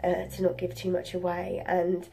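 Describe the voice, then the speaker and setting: A young woman chatting casually and excitedly about books as a vlog host, rambling and correcting herself, slightly congested with a cold. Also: on the low side, moderate pace, gravelly, slight background noise, rough recording. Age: young adult. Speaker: book reviewer and video host. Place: room at home.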